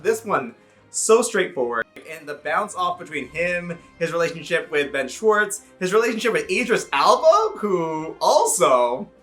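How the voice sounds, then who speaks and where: A man's voice: high-pitched, very animated, a little slow, a bit bright, faint music behind. A man around thirty giving a casual, effusive, sassy and comedic review full of slang. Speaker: YouTube movie reviewer.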